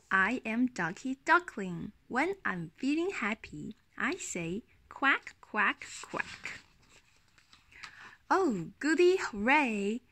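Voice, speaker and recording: A woman's voice: on the high side, sharp tone, a little hiss or hum, exaggerated voice. A woman voicing a playful, childlike little duckling in a storybook, with a sing-song rhyming delivery. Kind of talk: children's picture-book storytelling.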